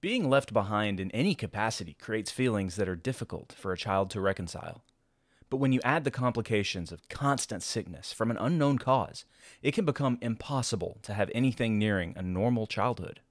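A man reading scripted documentary narration in a measured, solemn way. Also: lowish voice, lively intonation, no echo, clean studio sound. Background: faint background music.

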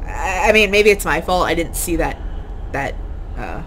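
A woman's voice: on the low side, big pitch swings, normal speed, a bit bright. Woman gamer over noisy background. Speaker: gaming channel host playing a video game. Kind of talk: casual let's play commentary. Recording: noisy background with game ambience. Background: video game sound.

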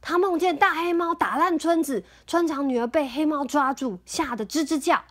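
A woman reading a picture book aloud to her child at home, gentle and sing-song, pausing to explain. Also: high voice. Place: home, indoors.

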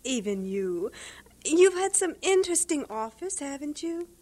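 An actress playing a brisk, motherly English missionary schoolmistress in an audio drama, matter-of-fact and homely. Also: high-pitched, very animated, warm tone. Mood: curious, teasing.

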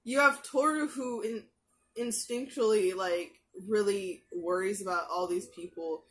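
Chatty young woman on headset mic. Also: medium pitch. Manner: chatty, many fillers and restarts, quick asides. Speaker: anime reaction youtuber. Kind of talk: casual rambling commentary. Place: small bedroom with posters. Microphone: gaming headset mic.

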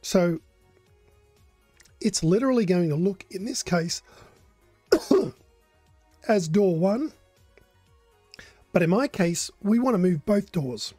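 Hoarse older man with a mellow voice. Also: high voice, slow pace, pauses often, no echo, faint music behind. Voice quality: hoarse, coughing. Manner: relaxed, explanatory. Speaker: modding stream host. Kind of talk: live coding tutorial.

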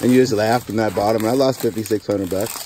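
Chatty storage-hunter guy, lowish pitch, recorded on camera in a noisy unit. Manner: chatty, speculating, quick pitch jumps when excited. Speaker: storage auction vlogger. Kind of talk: casual chat while sorting a storage unit.

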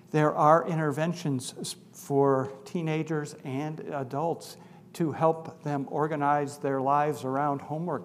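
Older man lecturing in a reverberant hall. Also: mid-range voice. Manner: animated, gestures widely, asks the audience questions. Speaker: psychology professor giving a public talk. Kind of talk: lecture to a live audience. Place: large auditorium stage. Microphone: lapel mic. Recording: long hall reverb, faint room noise.

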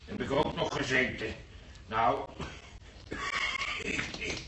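An actor playing an elderly, sleepless hospital patient in a radio drama, plaintive and rambling. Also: male voice, mid-range voice, takes it slowly, close to an everyday voice. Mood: weary, complaining. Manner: plaintive, rambling, repeating himself. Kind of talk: old radio drama acting. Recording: noisy, echoey room, distant microphone.

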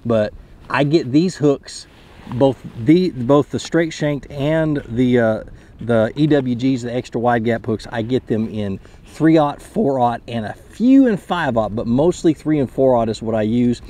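A man's voice: medium pitch, expressive, unhurried, dark tone. A man in his thirties explaining a fishing technique to camera from a kayak on a lake, in a chatty, explanatory way with frequent restarts. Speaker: fishing channel host. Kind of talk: casual outdoor tutorial to camera. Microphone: camera mounted close in front.

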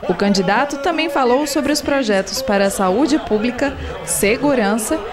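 A Brazilian woman narrates a local news report from a script. Her voice sits a little high, and she speaks as a television reporter. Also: moderate pace, music in the background.

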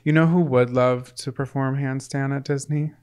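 A man's voice: mid-range voice, steady tone, moderate pace, a little mellow, clear, resonant tone. A man in his thirties co-hosting a podcast in a small home studio, talking playfully and cracking cheeky jokes into a handheld mic. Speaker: podcast co-host. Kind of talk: casual chat. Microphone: handheld microphone.

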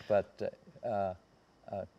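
An American man in his seventies reminiscing in anecdotes on a panel, haltingly, often trailing off and circling back. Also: lowish voice, flat delivery, dull audio. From United States. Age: 70s. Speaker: panel guest recalling personal memories. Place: seated on a theatre stage.

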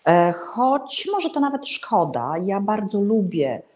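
A middle-aged woman hosts an informal book review to camera, pausing and correcting herself as she goes. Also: mid-range voice, sing-song, a little mellow. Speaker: vlog host recommending books. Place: indoor room hung with paintings.

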